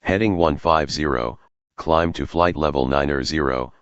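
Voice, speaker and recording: A man's voice: very deep voice, normal speed. A man giving clipped aviation phraseology over an air traffic radio transmission. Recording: dull, band-limited air traffic radio.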